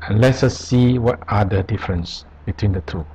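A man presenting an unscripted software tutorial, explaining step by step in short, halting phrases. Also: on the low side, dull audio, slight background noise. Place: voice-over on a screen recording.

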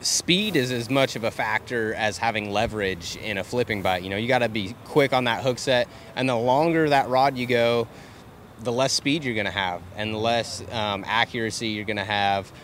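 A male professional angler answering interview questions outdoors in a measured, explanatory way. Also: mid-range voice, a bit bright. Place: outdoors by boats. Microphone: handheld mic held by interviewer. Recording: faint outdoor noise.

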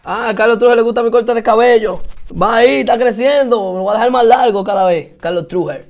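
A man's voice: very high-pitched, fast talker. A man with a Dominican accent hosting a casual home live stream, chatty and encouraging, greeting viewers and answering their comments, repeating points for emphasis. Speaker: host of a live stream for musicians.

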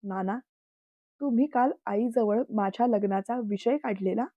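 A woman's voice: medium pitch, measured pace, no echo, close to an everyday voice. A woman voicing a defiant, hot-tempered young man who speaks in heated, insistent words, in a dull, dry recording. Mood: quiet firm.

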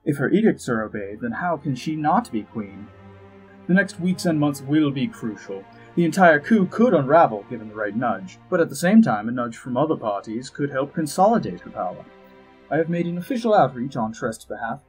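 A man playing a suave, haughty elf diplomat in a fantasy audio drama, with measured, arch, dryly witty delivery. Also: mid-range voice, sing-song, close to an everyday voice, poor audio. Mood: measured, grave. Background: background music.

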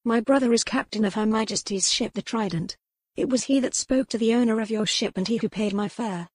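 A woman's voice: a little high, a little fast, breathy edge, no echo, pitched above the performer's own voice. A woman voicing an imperious, headstrong young noblewoman in an audiobook, formal and insistent. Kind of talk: audiobook character dialogue.

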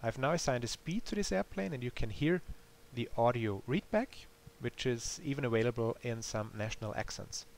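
A man's voice: medium pitch, lively intonation, moderate pace. A man giving a matter-of-fact, explanatory tutorial voice-over for a simulator game. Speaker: developer demonstrating a simulator game. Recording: clear background hiss.

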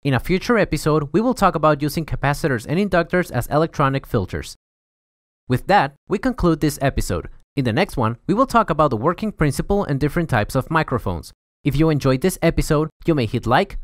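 Higher-voiced young man explaining, dry sound. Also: very animated, brisk, no room sound. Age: young adult. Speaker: host of an educational audio series. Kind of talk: scripted explanatory narration.

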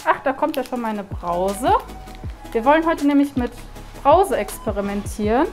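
A woman chemistry teacher with a high voice explains a demonstration step by step. She speaks in a science classroom, with faint music behind. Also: expressive, normal speed.

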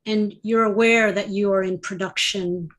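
A woman whose first language is Spanish speaks at a medium pitch. She is a student on a video call, thoughtfully framing a question. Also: steady tone, moderate pace.

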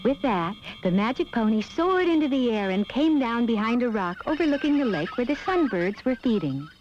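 A woman's voice: fairly high pitch, big pitch swings, moderate pace. A woman storyteller reading scripted narration for a children's fairy tale. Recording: dull lo-fi soundtrack with music behind. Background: background music.

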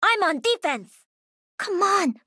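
Young female gamer mech pilot, lively swooping intonation, dry studio sound, cocky, playful, punchy video game lines. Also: very high voice, slow, no echo, full character voice. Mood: cocky, combative.

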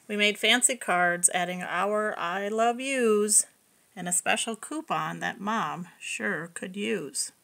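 A woman reading a picture book aloud to young children, expressive and explaining things simply. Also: medium pitch, takes it slowly, vocal fry. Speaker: preschool story reader.

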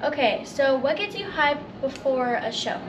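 A woman's voice: sits high, animated, moderate pace, dull audio, noisy background. A woman vlogging and asking interview questions in a casual, chatty style.

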